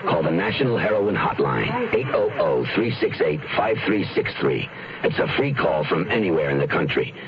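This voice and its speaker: Male PSA announcer: extremely low, directive, animated pitch, muffled and noisy sound. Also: moderate pace. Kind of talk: public service announcement. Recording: dull, noisy radio recording.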